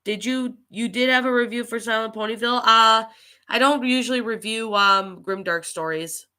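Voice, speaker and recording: Bright-voiced woman streamer with a cold. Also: a little high, moderate pace, clean tone. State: getting over a cold with allergies. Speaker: livestream host and creepypasta narrator. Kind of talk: casual livestream chat, reading and answering viewer comments.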